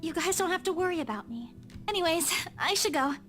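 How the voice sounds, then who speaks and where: Very high-voiced, evasive young woman. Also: animated, brisk, fairly bright tone, soft background music. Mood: subdued, evasive. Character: young woman, evasive troubled best friend. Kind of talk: video game voice acting.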